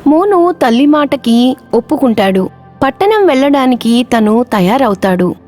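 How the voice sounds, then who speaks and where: A woman tells a children's moral tale as a scripted narrator. Her voice is on the high side, and soft music plays behind her. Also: big pitch swings, a little slow. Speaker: storyteller, narrator.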